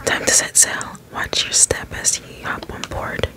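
A woman whispering the slow, drawn-out verses of a folksy male frog boatman from a video game, ASMR style. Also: sharp tone. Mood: wistful, easygoing. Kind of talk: ASMR read-out of game dialogue.

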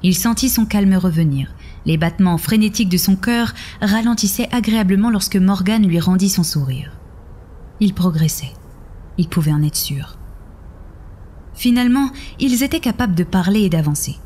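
A woman's voice: medium pitch, normal speed, warm tone. A woman narrating scripted audio fiction in a literary storytelling style. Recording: music behind the voice.

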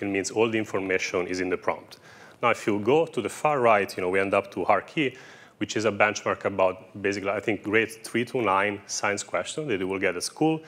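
A man's voice: lowish voice, a bit bright. A man in his thirties co-presenting as an AI researcher, explaining technical results on stage with a lapel mic. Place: indoor stage in a brick-walled event room before an audience.

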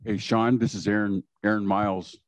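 Flat-voiced man greeting from the audience. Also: lowish voice, normal speed, no echo. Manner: friendly greeting. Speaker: audience member.

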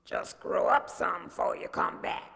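A woman voicing a wicked, hungry old male troll in a storybook reading, with slow, drawn-out threats. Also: a bit bright, big-room sound, lightly acted. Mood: menacing.

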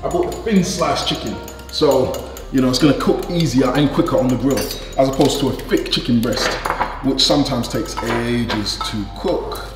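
A man's voice: medium pitch, normal speed. A young man casually seasoning food in a large kitchen, jokey and enthusiastic, recorded from a distance. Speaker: host of a cooking video. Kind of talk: casual cooking demonstration. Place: large indoor kitchen studio. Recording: echoey room with loud music behind.

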